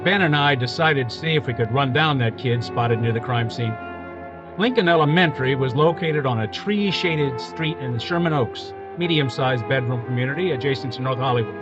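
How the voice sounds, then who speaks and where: A terse, deadpan police detective, played by an actor in a radio drama, telling the case in short clipped lines. The sound is slightly muffled, with music clearly underneath. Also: male voice, mid-range voice, lightly acted. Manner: clipped, deadpan, matter-of-fact. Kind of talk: hardboiled police narration.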